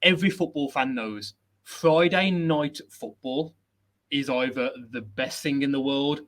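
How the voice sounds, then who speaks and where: A man hosting a football chat show, chatty, energetic and self-deprecating, speaking without a headset at a laptop setup. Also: medium pitch, measured pace. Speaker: host.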